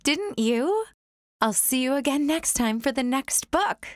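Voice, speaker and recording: A young adult woman reading a rhyming children's picture book aloud in a gentle, sing-song storytime manner. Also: high voice, animated, unhurried, no room sound, clean studio sound, soft background music.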